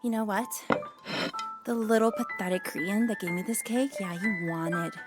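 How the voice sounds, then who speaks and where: A woman's voice: medium pitch, normal speed, over loud music, close to an everyday voice. A young woman playing a brash, hustling webcam dominatrix in a comedic audio drama, sassy, casual and bossy. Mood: teasing, domineering.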